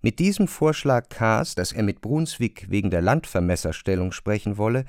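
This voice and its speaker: A man narrates a literary radio play with expressive, measured delivery. His pitch moves in a lively way, and the sound is close and dry. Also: dry recording.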